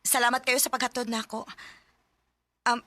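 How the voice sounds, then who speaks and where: A woman's voice: fairly high pitch, flat delivery, moderate pace, bright, forceful tone, dry recording. An actress playing a touchy but friendly young woman with a Cebuano accent in a Bisaya radio drama. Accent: Cebuano Filipino. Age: young adult.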